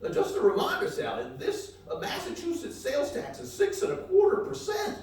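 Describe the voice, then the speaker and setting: A man's voice: very high-pitched, sing-song, brisk, a little mellow, pitched above the performer's own voice, full character voice. A man playing a folksy, rambling country travel reporter with a hesitant drawl in a live comedy radio play. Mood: folksy enthusiasm. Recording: echoey live hall, distant mic.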